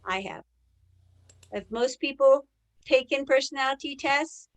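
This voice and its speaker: Woman, native English speaker, somewhat high-pitched voice, sales trainer, live webinar presentation, question-led delivery. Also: measured pace. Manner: engages listeners with rhetorical questions.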